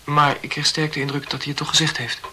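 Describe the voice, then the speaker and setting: An actor playing an earnest, awkward young medical student who talks politely and over-explains in a radio play. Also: male voice, on the high side, level tone, quick pace, sharp tone, a little hiss or hum. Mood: awkward, confessional.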